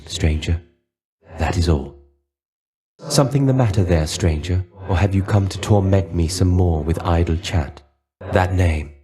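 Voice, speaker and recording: A melancholy male shade of a fallen warrior for a dramatic game. The voice sits low and soft-edged, with a dark, relaxed tone. The delivery is dry, guarded and resigned, in formal, archaic phrasing. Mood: wistful, sad, then bitter, skeptical. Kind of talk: dramatic video game character voice acting.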